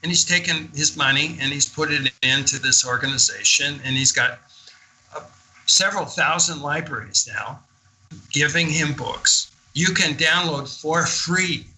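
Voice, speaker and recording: A man's voice: on the high side, a little slow, pushed and bright, slight background noise, low-quality recording. An older man talking as a guest in a radio interview, telling of his experiences in an enthusiastic, emphatic, conversational way.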